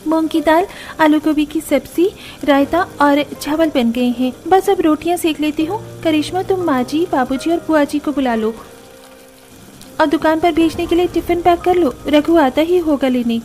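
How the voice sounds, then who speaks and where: A woman voicing busy, dutiful young daughters-in-law in a cartoon story, talking briskly and practically. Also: high voice, a little mellow, voice pushed up, lightly acted. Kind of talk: Hindi cartoon story dialogue.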